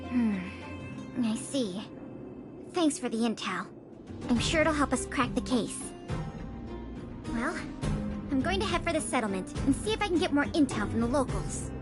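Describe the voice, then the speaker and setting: Game role: earnest young woman detective, businesslike, high-pitched, loud music behind. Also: lively intonation, moderate pace, long pauses. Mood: attentive, determined. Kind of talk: video game voice acting.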